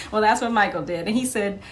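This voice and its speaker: A woman's voice: mid-range voice, a bit bright, a little hiss or hum. A woman telling personal anecdotes as an interview guest in a casual, conversational way.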